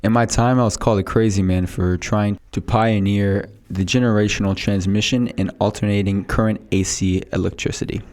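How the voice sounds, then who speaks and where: A man's voice: lowish voice, steady tone, fairly quick, hardly any pauses, a little mellow, lightly acted. A man playing a boastful male inventor of the 1800s, reading a prepared script aloud in a school podcast role-play.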